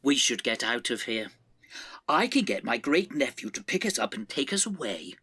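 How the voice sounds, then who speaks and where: An actor playing a sweet, muddled elderly man in an audio comedy, with a hesitant, husky, high voice. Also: sing-song, moderate pace, pushed and bright, heavily acted. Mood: fond, uneasy. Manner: confused, hesitant, stammering. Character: dotty, timid, sweet elderly man in a care home. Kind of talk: audio comedy drama acting.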